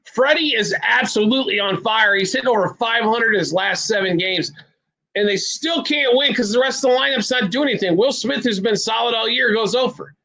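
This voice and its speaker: A man's voice: very high voice, fairly quick, gravelly, sharp tone, low-quality recording. A man from the American Midwest hosting a sports betting show, rambling bluntly through picks with self-deprecating asides. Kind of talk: casual sports betting talk.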